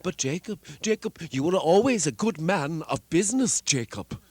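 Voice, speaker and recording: Man to play a jumpy old miser, high, lively and slow, scoffing then begging. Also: breathy edge, no echo. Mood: pleading, frightened. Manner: animated, swinging from scoffing to pleading. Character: old male miser, frightened and doubting. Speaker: narrator and lead actor. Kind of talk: dramatic reading of a classic tale.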